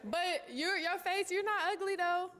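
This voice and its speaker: A woman's voice: very high-pitched, normal speed, big-room sound, a crowd in the background. A woman contestant on a dating game, hesitant and giggly as she explains herself.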